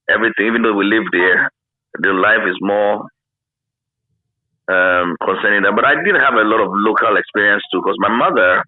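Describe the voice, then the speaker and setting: A man in his fifties with a Ghanaian accent, reminiscing in a casual interview and often repeating phrases for emphasis. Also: fairly low pitch. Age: 50s. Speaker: interview guest, former radio pioneer. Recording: narrow phone-like line.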